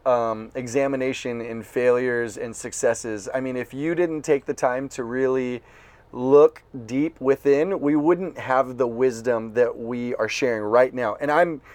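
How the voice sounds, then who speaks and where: A man's voice: mid-range voice, a little slow, darkish tone, clear, resonant tone, dry recording. A man hosting a podcast, chatting casually and enthusiastically, joking and cutting in on his guest. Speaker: podcast host. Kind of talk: casual interview chat.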